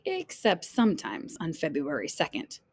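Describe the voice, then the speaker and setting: A woman reading a children's picture book aloud in an expressive, dramatic storyteller's way, phrasing deliberately for young listeners. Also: sits low. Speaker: read-aloud storyteller, teacher.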